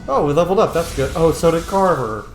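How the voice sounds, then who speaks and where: A man's voice: fairly high pitch, expressive, fast talker. Male gaming host in a roomy space. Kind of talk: casual game commentary. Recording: roomy echo, game music behind.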